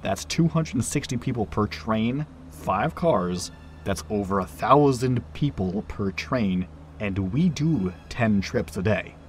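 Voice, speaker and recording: Casting: male actor for an easygoing, animated train worker, chatty and teasing, audio drama with music underneath. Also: fairly low pitch, slow. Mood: matter-of-fact. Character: breezy, chatty, friendly train attendant, adult man. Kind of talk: naturalistic audio drama acting.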